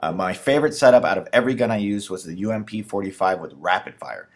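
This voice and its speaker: Gaming YouTuber, man in his 30s, low voice gone a bit raspy, eager rambling delivery. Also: lively intonation, fast. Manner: enthusiastic, rambling with fillers. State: losing his voice, slightly raspy. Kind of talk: casual gun breakdown commentary.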